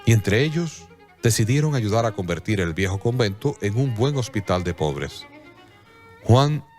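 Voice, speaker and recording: A man giving solemn, scripted radio drama narration with measured, dramatic pauses. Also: lowish voice, big pitch swings, darkish tone, faint music behind.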